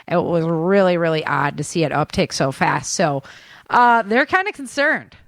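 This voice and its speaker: A woman's voice: fairly low pitch, expressive, normal speed, creaky, a bit bright, no room sound, studio quality. A woman hosting a talk show, running through news updates in a chatty, informal way. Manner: chatty, informal, addresses listeners as guys. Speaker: show host.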